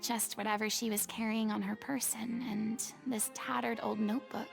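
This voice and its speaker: Game role: high-pitched young noblewoman, poised, theatrical, sure of herself, over music. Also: little pitch movement, moderate pace, vocal fry. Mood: pensive. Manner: theatrical, articulate, authoritative. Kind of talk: video game voice acting.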